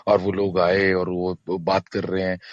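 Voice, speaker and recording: Male guest: slightly deep voice, effusive and halting, on a dull lo-fi line. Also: completely flat delivery, dry recording. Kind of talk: casual spoken appreciation.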